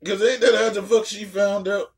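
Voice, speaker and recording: A man's voice: high voice, level tone, moderate pace. A man chatting casually as a reaction host, blunt, slangy and profane, often repeating words for emphasis. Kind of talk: casual chat reacting to a story.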